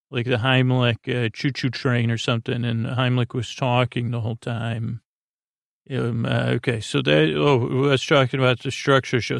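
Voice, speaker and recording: A man hosting a bedtime podcast in a rambling, unscripted way, halting and repeating himself, with a goofy, whimsical, self-deprecating manner. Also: mid-range voice, creaky, no echo, clean studio sound. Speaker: podcast host.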